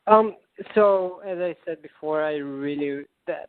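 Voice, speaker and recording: A man from Israel with an Israeli accent, a singer-songwriter answering interview questions in halting English with many fillers and restarts; Hebrew is his first language. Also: on the high side, no room sound. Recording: tinny phone line, dry.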